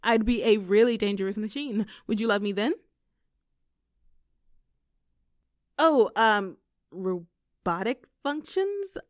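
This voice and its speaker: A woman playing a playful, clingy young girlfriend in an intimate roleplay audio, teasing and cooing in an animated, affectionate way. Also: medium pitch, a little slow, no room sound, studio quality, close to an everyday voice. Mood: playful, mock-indignant. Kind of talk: intimate girlfriend roleplay audio, scripted one-sided conversation.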